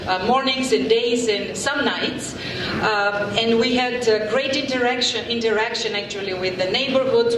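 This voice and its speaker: Bulgarian-accented woman planner, middle-aged, halting public talk, distant mic in a reverberant hall. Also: on the high side. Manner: halting, many filler pauses, warm thanks. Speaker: urban planner presenting a workshop. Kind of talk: public presentation to a community meeting. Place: large event room with audience. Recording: echoey hall from far off, crowd murmur, background noise.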